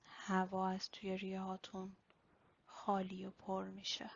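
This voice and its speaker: A woman leading a guided body-scan meditation in a slow, instructional way with pauses. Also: medium pitch, completely flat delivery, vocal fry, a little hiss or hum. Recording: slightly dull, lo-fi recording.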